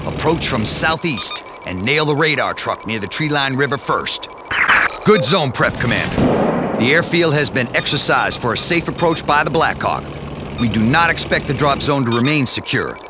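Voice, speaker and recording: A man's voice: mid-range voice, lively intonation, moderate pace, noisy background, natural voice. A man playing a stern military commander. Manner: clipped, commanding briefing delivery. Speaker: mission commander giving briefings. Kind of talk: game cutscene voice acting. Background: loud music.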